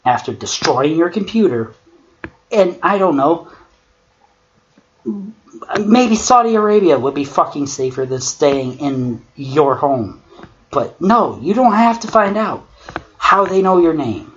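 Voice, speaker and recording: Male critic, sarcastic and sweary, unhurried delivery, dull lo-fi mic. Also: fairly high pitch, big pitch swings. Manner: sarcastic, profane. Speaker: commentator. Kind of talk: critical commentary on amateur horror fiction. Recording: muffled lo-fi mic with clear noise.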